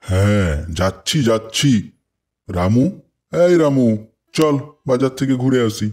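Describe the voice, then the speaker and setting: A man's voice: medium pitch, animated, a little slow, a little mellow, soft background music, close to an everyday voice. A man voicing a mild, hesitant village householder in a cartoon. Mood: agreeable. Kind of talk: Bengali cartoon voice acting.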